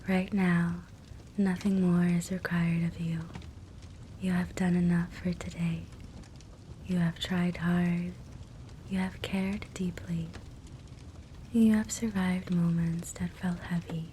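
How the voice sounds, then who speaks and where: A woman guiding a sleep hypnosis meditation in a soft, breathy whisper, gentle and soothing. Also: lowish voice, slow pace, long pauses. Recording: soft steady fireplace crackle noise. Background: fireplace ambience.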